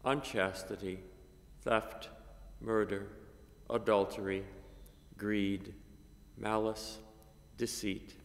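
An older man, a priest, reading a gospel and preaching a homily at a lectern microphone, measured and halting with many fillers. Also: on the low side, flat delivery. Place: cathedral chapel, at a lectern. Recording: long reverb of a chapel.

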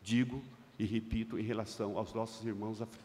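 A man's voice: fairly low pitch, flat delivery, a little hiss or hum. A middle-aged Brazilian man reading a formal speech at a conference panel, speaking into a desk microphone in a large hall. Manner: emphatic, rising loud on key phrases, slowing to stress points. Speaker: seminar speaker. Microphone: desk microphone on a stand. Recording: long hall reverb.

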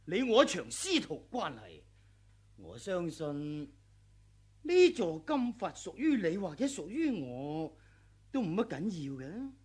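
An actor playing a treacherous, greedy aging male sword master in a 1980s radio drama, sly and sneering, with sudden furious yells. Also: on the high side, wildly swooping pitch, unhurried, slightly rough, heavily acted. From Hong Kong.

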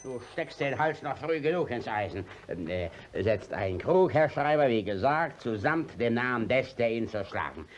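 A man's voice: mid-range voice, expressive, normal speed, few pauses, a little hiss or hum, lightly acted. An actor in a 1950s radio play voicing a sly, blustering old village judge who stammers and hedges, switching between servility and bullying.

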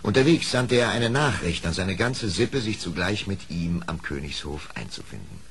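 A man's voice: sits low, very animated, normal speed, vocal fry, a bit bright, exaggerated voice. A man narrating a scripted storybook tale in a dramatic storytelling manner.

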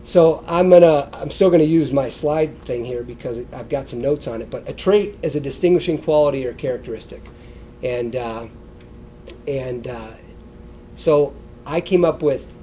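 A middle-aged American man gives an informal health talk, sounding mellow and a bit stuffed up with congestion. He speaks conversationally and wanders loosely between points. There is a faint rumbling noise behind him. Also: moderate pace. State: congested, sniffly. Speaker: chiropractor giving a wellness talk. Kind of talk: informal seated lecture. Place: indoor stage beside a wooden lectern, seated.

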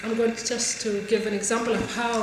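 A woman from southern Tunisia whose first language is Tunisian Arabic, telling an animated story at a dinner table in a tiled courtyard. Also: fairly high pitch, very fast. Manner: animated, laughs often, quotes people she has met. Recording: echoey courtyard with dinner noise, speaker off-mic.

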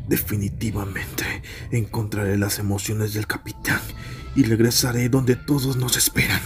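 A man's voice: lowish voice, level tone, normal speed, faint music behind, close to an everyday voice. A man with a Latin American Spanish accent, in an anime fan dub, playing a brash, stubborn, weary warrior. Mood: brooding, determined. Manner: brooding muttering that erupts into strained shouts.

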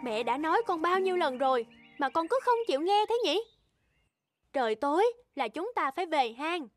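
A woman voicing a worried, stern mother donkey in an animated fairy tale, scolding and insistent. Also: high-pitched, moderate pace, faint music behind.

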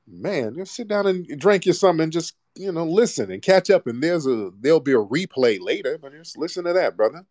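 A man's voice: fairly high pitch, animated, a little fast, few pauses, a little mellow, dry recording, clean studio sound. A man hosting a live audio room, talking bluntly and mocking callers over a phone mic.

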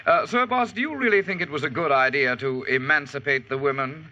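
Bright-voiced, fussy assistant. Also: male voice, fairly high pitch, fairly quick. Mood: earnest, fussy. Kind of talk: 1940s radio drama acting. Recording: muffled noisy vintage radio.

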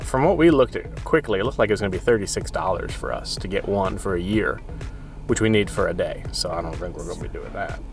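A man's voice: low voice, lively intonation, quick pace, creaky, faint music behind. A man around his thirties chatting casually to the camera as a travel vlogger, sitting inside a car.

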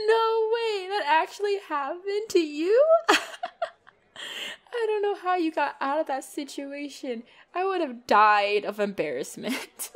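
A woman's voice: medium pitch, lively intonation. A woman voicing a flirtatious, teasing female vampire in an intimate ASMR roleplay, playful and giggly with a hungry undertone. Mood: amused. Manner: playful, giggly, slow and coy, dropping into breathy whispers. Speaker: roleplay voice performer. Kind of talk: ASMR roleplay, intimate one-sided dialogue.

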